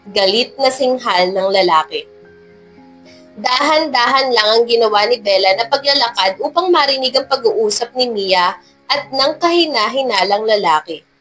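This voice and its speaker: A woman's voice: a little high, fairly bright tone, poor audio. A woman narrating a scripted love story as a storyteller.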